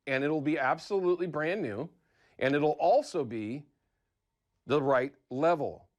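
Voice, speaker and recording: A man's voice: fairly high pitch, measured pace, darkish tone. An American man hosting a casual how-to TV segment in a chatty, folksy, conversational way. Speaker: TV show host.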